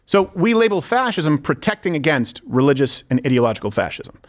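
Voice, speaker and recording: Opinionated male host with an animated, higher-pitched voice. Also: fairly quick. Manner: opinionated, sarcastic, emphatic. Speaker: talk show host. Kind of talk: political commentary, talk show banter.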